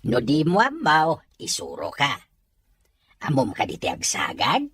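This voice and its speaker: A woman's voice: sits low, huge pitch swings, measured pace, husky, no room sound, heavily acted. A woman playing a joking, teasing elderly father in an audio drama. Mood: playful quizzing. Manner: playful, teasing. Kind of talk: Ilocano audio drama acting.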